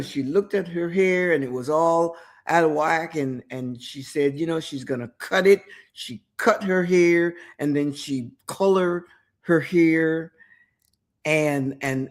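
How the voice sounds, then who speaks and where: A woman hosting a motivational talk show, retelling a story with emphatic repetition and speaking directly to the listener. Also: deep voice. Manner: emphatic, repeats words for effect, addresses listener directly; storytelling with quoted inner thoughts. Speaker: host.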